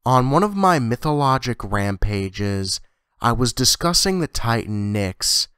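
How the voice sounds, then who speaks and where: A man's voice: medium pitch, sing-song, unhurried, fairly bright tone, smooth, no room sound, clean studio sound. A man reading a scripted first-person scary story as a horror narrator.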